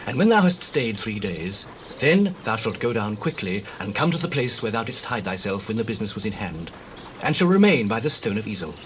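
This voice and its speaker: An actor playing a loyal, earnest prince in a Bible audio drama, speaking in solemn, emphatic, archaic oratory. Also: male voice, fairly low pitch, big pitch swings, fairly quick, a little hiss or hum, low-quality recording. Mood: earnest, measured. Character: loyal, earnest prince, devoted sworn friend.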